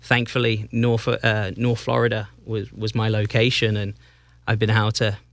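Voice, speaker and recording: A man from England with a Northampton-area English accent, a soccer club president chatting as a guest and often pausing and restarting. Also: on the low side, completely flat delivery, no echo. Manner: easygoing, halting with restarts and fillers. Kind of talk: casual interview chat.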